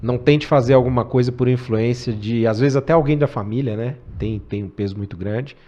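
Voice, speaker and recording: Guest, male, 40s, relaxed chatty delivery, dull lo-fi podcast sound. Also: mid-range voice, brisk. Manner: relaxed, says cara and né often. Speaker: podcast guest, tech executive. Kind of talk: casual podcast conversation.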